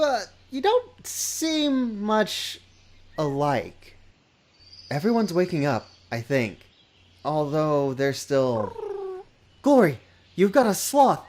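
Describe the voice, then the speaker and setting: Man acting a nerdy boy dragon, unhurried, expressive intonation, hesitant, formal, fussy, fantasy dub. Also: high-pitched, a little hiss or hum, full character voice. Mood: hesitant, surprised. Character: earnest, bookish, nervous young male dragon. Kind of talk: dubbed fantasy drama acting.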